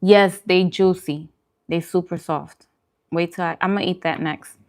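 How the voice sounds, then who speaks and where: A woman's voice: lowish voice, steady tone, normal speed, a little mellow. A woman chatting casually and in a friendly way as she hosts a food livestream, answering viewers' comments.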